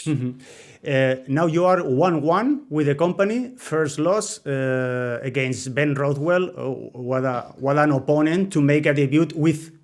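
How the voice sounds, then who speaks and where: Casting note: Spanish-accented male host, somewhat high voice, careful halting English. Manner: hesitant, halting non-native English. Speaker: interviewer, host. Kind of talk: interview questions.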